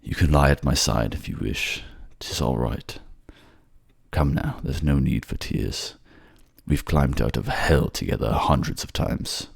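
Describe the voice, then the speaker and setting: A man voicing a grumpy, battered but tender warrior king, gruff, weary and halting, in a fantasy audio drama. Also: very deep voice, exaggerated voice. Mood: tender, reassuring.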